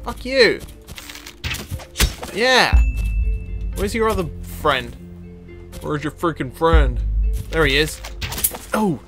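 Male gamer, slightly high voice, slow-paced, casual let's-play commentary, exclamatory, talks to game characters. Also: big pitch swings. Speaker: gaming video host. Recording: game music behind the voice. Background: video game music.

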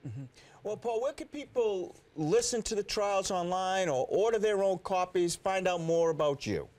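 A man's voice: high-pitched, animated, normal speed, a little mellow. A middle-aged man co-hosting a studio talk show, asking conversational interview questions. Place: TV studio, indoors.

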